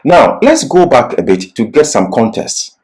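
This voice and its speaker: A man's voice: medium pitch, wildly swooping pitch, a little slow, darkish tone. A man giving an expository Bible lecture as a teacher, didactic and leaning on key words.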